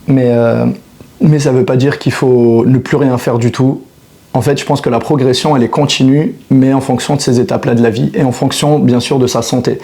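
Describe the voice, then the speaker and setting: A man's voice: mid-range voice, mellow. A man in his thirties, a fitness coach, talking reflectively to camera in a casual monologue, full of hesitations and restarts. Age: 30s.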